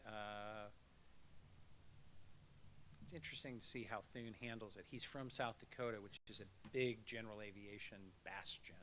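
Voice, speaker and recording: A man with a rather low voice and a bright, forceful edge gives a legislative update to a conference audience. He talks in a conversational, wry way. A faint hiss runs under the recording. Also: fairly even intonation, normal speed, pauses often. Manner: conversational, wry policy briefing. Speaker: association executive giving a legislative update. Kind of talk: conference speech.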